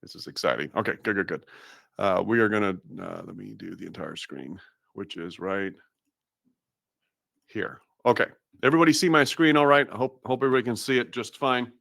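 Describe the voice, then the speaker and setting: A man's voice: fairly low pitch, fairly quick, gravelly, fairly bright tone, dry recording, studio quality. A man from Massachusetts hosting a live webinar, chatty and upbeat, with lots of fillers and restarts. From Massachusetts, USA. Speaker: webinar host.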